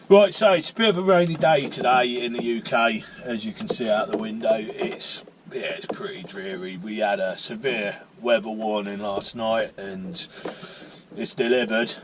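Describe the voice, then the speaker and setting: A man talks to his viewers in a loose, rambling way and jokes at his own expense. His voice sits at an ordinary middle pitch. A faint hiss of noise runs under the recording. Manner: chatty, rambling, self-mocking. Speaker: vlogger. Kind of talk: casual vlog chat. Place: outdoors and in a car on a rainy day.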